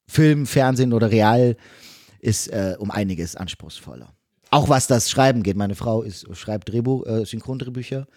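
A man's voice: medium pitch, normal speed, a little mellow, no room sound. Man explaining casually, dry close sound. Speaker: podcast guest, dubbing voice actor.

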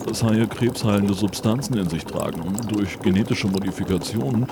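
A man playing a confident, smooth-talking lab chief who makes grand, persuasive speeches in a creaky voice, in film-dub style. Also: fairly low pitch, moderate pace, noisy background, natural voice. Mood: presenting. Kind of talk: German dub-style dramatized reading.

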